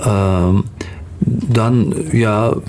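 A middle-aged man with a Turkish accent gives conversational interview answers. His voice is low and very slow, with frequent pauses as he searches for words. There is faint noise behind him. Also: steady tone, a little mellow. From Turkey. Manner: halting, pausing often to search for words. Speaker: interview guest, theatre actor.

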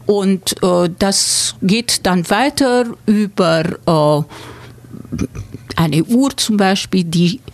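A woman's voice: mid-range voice, very slow. A woman from Romania, a guest in a casual interview, speaking hesitantly with many fillers. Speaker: guest, exhibition contributor.

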